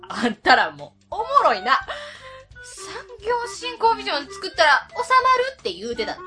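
A woman's voice: very high voice, very animated, moderate pace, music in the background, heavily acted. A woman playing a brash, mischievous middle-aged woman with an Osaka accent in a radio comedy drama. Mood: teasing, nosy. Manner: boisterous, teasing, pushy.

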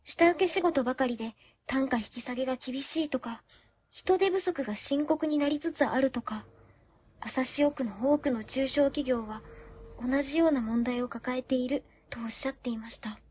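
A woman's voice: sits high, normal speed, husky. A woman playing a shy, polite young office worker who reports formally in a radio drama. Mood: subdued, serious.

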